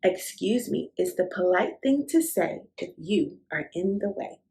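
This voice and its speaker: A woman's voice: lowish voice, animated, a little slow, background noise. A woman reading a picture book aloud to young children, speaking in an encouraging, instructive way and addressing the listener directly. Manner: encouraging, instructive, asks the listener questions and affirms. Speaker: host of a children's read-aloud show.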